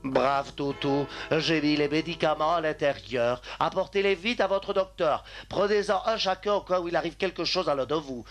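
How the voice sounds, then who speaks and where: A man's voice: fairly high pitch, moderate pace, hardly any pauses, a bit bright, soft background music. A man playing a kindly, conscientious doctor, earnestly giving instructions in a French cartoon dub. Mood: urging.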